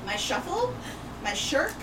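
A woman player talking casually at a tabletop game, lively and defiant. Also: high voice, a little slow. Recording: roomy, distant, noisy room.

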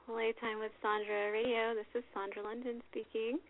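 A woman's voice: on the high side, flat delivery, normal speed. A woman hosting a casual radio interview, bubbly and chatty, laughing often between questions. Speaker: radio show host. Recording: phone-like lo-fi audio.

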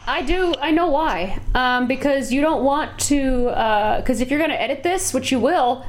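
High-voiced woman, chatty tech stream. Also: lively intonation, normal speed, poor audio. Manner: rambling, self-correcting, chatty with laughs. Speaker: livestream co-host testing audio gear. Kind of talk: casual tech chat. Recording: clipping, loud mic.

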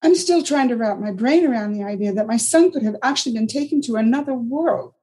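A woman's voice: fairly high pitch, animated, a little fast, dark tone, voice pushed up, lightly acted. A woman voicing a distraught young mother who is bitter and defensive. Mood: agitated.

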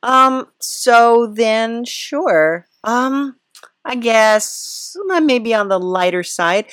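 A woman's voice: on the high side, drawn-out words, fairly bright tone, dry recording, clean studio sound. A woman hosting a podcast interview in a casual, playful, rambling way, often hesitant and giggly.

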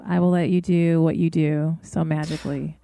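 A woman's voice: low-pitched, little pitch movement, moderate pace, creaky, mellow, no room sound, studio quality. A woman co-hosting a music business podcast, enthusiastic and effusive as she introduces a guest. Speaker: podcast co-host. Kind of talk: casual chat.

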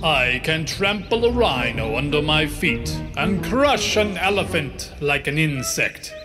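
A pompous, slow, boastful little warrior centipede. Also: male voice, very animated, music in the background. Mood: boastful, menacing. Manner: pompous, slow booming declamation. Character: tiny male centipede posing as an invincible, boastful warrior. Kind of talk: children's storybook character acting.

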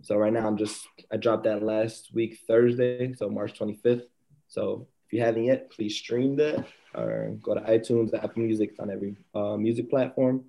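Male guest musician, low mellow voice, easygoing talk full of ums. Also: fairly even intonation, normal speed, vocal fry. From Puerto Rican family. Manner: easygoing, rambling, many ums. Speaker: guest rapper. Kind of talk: casual interview.

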